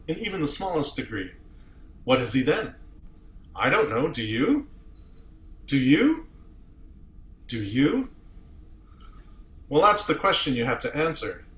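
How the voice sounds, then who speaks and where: A man playing an eloquent starship officer arguing as a defense advocate, with probing, deliberate rhetoric in a table read. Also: fairly high pitch, fairly bright tone, echoey room. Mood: probing, insistent. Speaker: amateur actor in a table read. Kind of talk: dramatic courtroom monologue.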